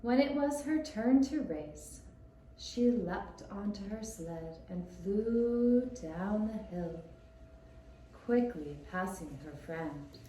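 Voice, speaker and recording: Woman storyteller for small children. Soft mellow tone, unhurried delivery, very roomy recording. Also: medium pitch, animated. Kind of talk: scripted storytelling for young children. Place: echoey room, puppet show performance. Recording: roomy echoing sound with faint hiss.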